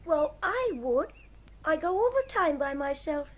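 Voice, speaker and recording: A woman's voice: high-pitched, sing-song, a little slow, highs cut off. A woman playing a cocky, scornful young boy in a radio drama, boastful and taunting.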